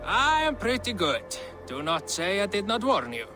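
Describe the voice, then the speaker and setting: A man's voice: high voice, big pitch swings, moderate pace. An actor voicing a boastful, hearty male gambler challenging others to a game in a video game. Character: cocky male dice-game player. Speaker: game character. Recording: music score underneath.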